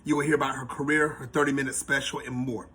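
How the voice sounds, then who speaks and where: A man's voice: mid-range voice, little pitch movement, fast talker, pushed and bright, husky. A man hosting a podcast interview, talking in a loose, slangy, hype-host way.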